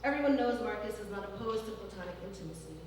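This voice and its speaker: A woman's voice: medium pitch, animated, fast talker, a little mellow. Woman reading fiction in an echoey hall. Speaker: author reading her fiction. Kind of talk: literary reading at a live event. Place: large former church hall. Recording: distant mic in a very echoey hall, faint noise.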